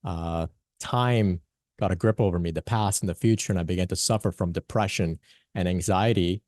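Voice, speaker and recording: A man's voice: sits low, moderate pace, a little mellow, no room sound, clean studio sound. A young man, born in Pakistan and raised in Canada, giving an earnest conference talk as a spiritual teacher, with many fillers and restarts. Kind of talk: conference talk, personal story.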